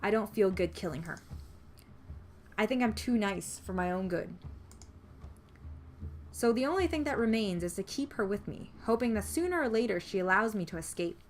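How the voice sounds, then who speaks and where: A woman reading a story aloud as scripted narration, in an expressive storytelling manner. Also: mid-range voice, normal speed, pauses often, slight background noise. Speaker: visual novel player reading the text aloud. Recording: music behind the voice.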